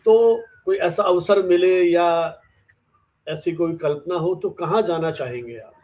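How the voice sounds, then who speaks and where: A man hosting an interview, asking questions conversationally and deliberately in short segments. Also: high voice, darkish tone. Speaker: interviewer, host.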